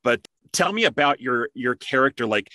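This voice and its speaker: A man's voice: medium pitch, animated, moderate pace, bright, forceful tone, no echo. A man hosting a casual interview, talking in a chatty, conversational way. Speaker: interview host and amateur voice actor.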